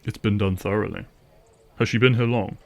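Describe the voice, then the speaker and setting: A man's voice: fairly low pitch, steady tone, moderate pace, vocal fry, a little mellow. A man playing a terse, dryly guarded vampire hunter in a fantasy audio roleplay. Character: wry, guarded male vampire hunter, gruff protective ally.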